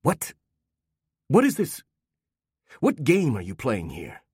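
A man voicing a hesitant, halting man. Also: mid-range voice, huge pitch swings, mellow, husky, no room sound, studio quality, full character voice. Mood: baffled. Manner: halting, stumbling restarts. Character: uneasy adult man, hesitant and confessing.

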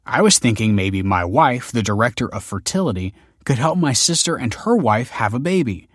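An earnest family man as a male narrator plays him speaks insistently in a mid-range, animated voice. He is a protective father in an audiobook, recorded dry. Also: mid-range voice, moderate pace, no echo, pitched above the performer's own voice. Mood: earnest, persuading.

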